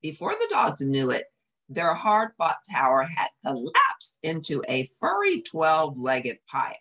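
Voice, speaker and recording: Low, very animated woman storyteller. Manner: animated, drawn-out emphasis, asks listeners questions. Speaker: storyteller, channel host. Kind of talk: expressive read-aloud for children. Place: small home office, indoors.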